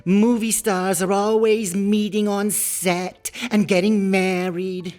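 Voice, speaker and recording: A woman's voice: medium pitch, fairly even intonation, slow pace, darkish tone, soft background music, lightly acted. Woman playing a starry-eyed, gushing hostess. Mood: dreamy, gushing. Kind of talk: scripted comic hosting.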